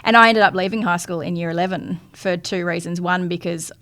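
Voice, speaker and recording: A woman's voice: fairly low pitch, fairly even intonation, fairly quick, a bit bright. A woman, telling her story candidly as a guest on a podcast interview. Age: 40s. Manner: candid storytelling with frequent restarts.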